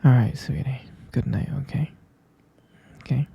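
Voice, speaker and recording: Man playing a drowsy, gravelly, whispering vampire boyfriend. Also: mid-range voice, warm tone, heavily acted. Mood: sleepy, explaining. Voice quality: breathy, husky whisper, gravelly fry. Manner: drowsy, halting, murmured, soothing. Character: gentle, sleepy half-vampire boyfriend, tenderly reassuring. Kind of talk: whispered ASMR roleplay.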